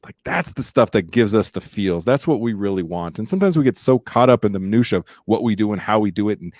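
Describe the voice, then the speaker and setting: A man's voice: fairly low pitch, lively intonation, fast, a little mellow, no echo, studio quality. A man hosting a podcast interview, talking casually with energy and a shifting pace. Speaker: podcast host.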